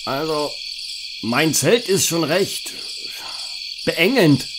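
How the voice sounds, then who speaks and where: A man's voice: a little high, animated, extremely slow, noisy background. A man playing a jittery, talkative camper in a self-aware horror-comedy audio play. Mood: uneasy. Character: jittery, talkative male camper and flustered story writer. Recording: music behind the voice.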